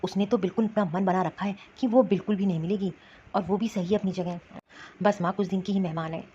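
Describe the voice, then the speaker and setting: A woman's voice: mid-range voice, fairly even intonation, quick pace, mellow, a little hiss or hum, lightly acted. A woman playing a troubled, dutiful husband in an amateur family cartoon, speaking in a hesitant, resigned manner. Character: troubled, dutiful husband torn between mother and wife. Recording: muffled lo-fi sound, music behind.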